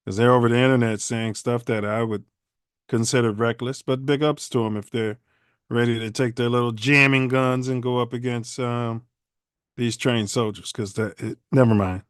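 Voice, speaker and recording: Guy hosting his pod, smooth clear voice, dry close sound, rambly slangy talk. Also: medium pitch, fairly even intonation, moderate pace, dry recording. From Chicago. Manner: loose, rambling, slangy delivery with many filler sounds. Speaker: podcast host. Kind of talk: casual livestream podcast chat.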